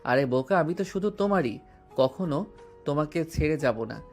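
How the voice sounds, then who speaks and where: A man acting a tender, earnest lover in a romantic audio drama, with soft music behind. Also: on the high side, lively intonation, normal speed. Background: soft background music.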